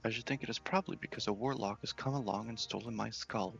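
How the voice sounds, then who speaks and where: A man's voice: fairly low pitch, fast, gravelly, a bit bright, lo-fi sound, soft background music. A man voicing a glum, polite and lonely ghost from a fantasy game. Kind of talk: game dialogue read in character.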